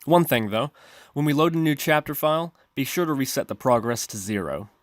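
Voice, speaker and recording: A man's voice: mid-range voice, expressive, brisk, no echo. A man narrating an instructional screencast as a tutorial host, explaining methodically step by step. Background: background music.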